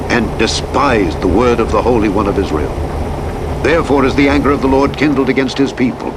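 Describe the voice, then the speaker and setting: A man reading scripture as a narrator in a solemn, measured, declamatory manner. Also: medium pitch. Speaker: audiobook Bible narrator. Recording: engine and road noise over playback. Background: truck simulator vehicle noise.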